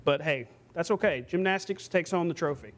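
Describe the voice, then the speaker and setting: A man anchoring a local sportscast, reading scripted highlights in punchy, clipped calls. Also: brisk, fairly bright tone, slight background noise.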